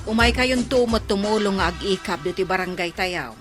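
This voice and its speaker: An actress with an Ilocano Filipino accent playing a brisk, bantering female village councilor in a radio comedy drama. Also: medium pitch, over music, lightly acted. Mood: brisk, chiding.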